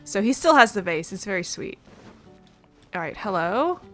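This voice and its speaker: A woman's voice: medium pitch, sing-song, normal speed. A young woman giving casual let's play commentary, chatting and rambling as she goes. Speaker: gaming commentator. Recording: faint game music behind the voice.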